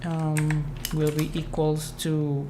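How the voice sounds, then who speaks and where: A woman from Mexico whose first language is Spanish, speaking English with a Mexican Spanish accent as she live-codes and thinks aloud, halting as she works. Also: sits low, completely flat delivery, background noise. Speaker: live-coding streamer. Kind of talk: live coding tutorial. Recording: keyboard typing in background.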